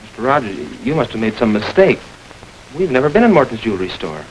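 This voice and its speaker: A man's voice: mid-range voice, big pitch swings, close to an everyday voice, poor audio. A man playing a jittery young Tommy. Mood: defiant. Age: young adult. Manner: quick, defiant denials. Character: nervous young male suspect, Tommy. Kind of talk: 1940s radio acting. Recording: hissy old radio recording.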